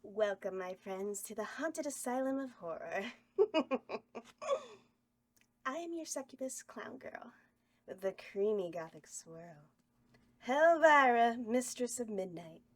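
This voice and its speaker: Flirtatious succubus clown horror hostess, acted by a woman, high voice, unhurried delivery, brash and campy. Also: expressive. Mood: theatrical welcome. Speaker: actor reading a horror hostess at table read. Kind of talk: campy horror host table-read performance.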